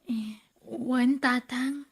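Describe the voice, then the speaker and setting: A woman's voice: sits high, fairly even intonation, slightly rough, heavily acted. A woman acting a hesitant young daughter and sister in an Ilocano radio drama. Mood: careful. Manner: hesitant, halting.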